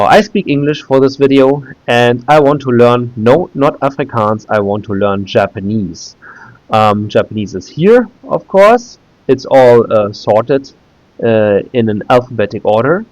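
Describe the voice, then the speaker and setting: German native speaker, male, 30s, low-pitched, halting casual vlogger, dry close recording. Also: darkish tone, dry recording, dull audio. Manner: hesitant, many "uh" fillers and restarts. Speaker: video creator. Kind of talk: casual screen-recorded walkthrough.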